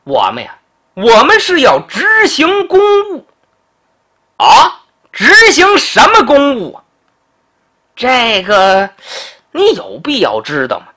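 A man's voice: very high-pitched, huge pitch swings, slow pace, long pauses, pushed and bright, heavily acted. A man putting on a curt, arrogant official's adviser. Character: arrogant male official's adviser, later fawning.

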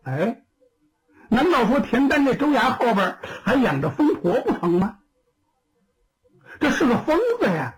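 A blunt young warrior, bewildered, fires off quick questions, as a storyteller plays him. The pitch jumps around lively from line to line. He is a figure in a traditional spoken storytelling performance.

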